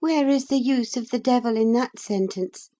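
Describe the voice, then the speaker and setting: A woman's voice: medium pitch, moderate pace, dark tone, voice pushed up, lightly acted. A woman voicing a spiteful, sickly teenage boy in an audiobook, with a sneering, taunting manner. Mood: prissy.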